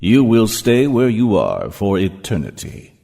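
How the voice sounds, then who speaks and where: Man acting a gravelly demonic overlord, cavernous reverb. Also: fairly low pitch, huge pitch swings, mellow, full character voice. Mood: cold menace. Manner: slow, commanding, pompous. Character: menacing male ruler of Hell, cold, gloating, all-powerful. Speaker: villain in an audio drama. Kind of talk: audio drama acting.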